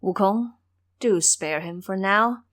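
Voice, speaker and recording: A woman voicing a reproving yet merciful elder male monk, admonishing in a measured way in an audio story. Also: medium pitch, fairly bright tone.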